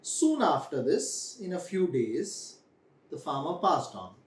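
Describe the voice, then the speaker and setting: A young man, telling a story to children in a deliberate way, repeating phrases for emphasis. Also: a little high, sing-song. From India. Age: young adult. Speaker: storyteller host. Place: small room indoors. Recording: echoey room, distant microphone.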